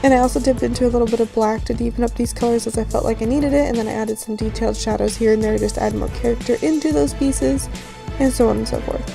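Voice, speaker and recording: A woman with a raspy, slightly hoarse just-woken voice, giving a casual, rambling voice-over tutorial as host. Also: fairly high pitch, steady tone, quick pace. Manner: rambling, informal. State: just woke up, morning hoarseness. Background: background music.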